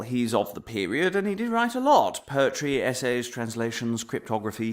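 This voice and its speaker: A pompous crackpot professor in a comic audio drama lecture. His low voice has a gravelly creak and swings wildly in pitch. He leans on dramatic pauses, then breaks into theatrical outbursts. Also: fairly bright tone, full character voice. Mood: smug, pedantic. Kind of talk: comic monologue as a mock lecture.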